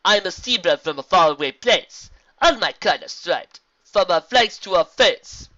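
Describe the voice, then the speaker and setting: A woman voicing a wise, calm zebra sorceress who speaks in deliberate, formal rhymed phrases. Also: on the low side, no echo, lo-fi sound, voice pushed up. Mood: gracious.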